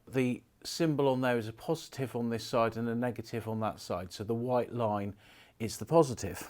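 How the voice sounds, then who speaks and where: A middle-aged British man giving an informal, unhurried hands-on commentary, explaining things step by step as he works. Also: medium pitch, a little mellow, slight background noise. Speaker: presenter of a repair video, former radio engineer. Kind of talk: casual hands-on demonstration commentary.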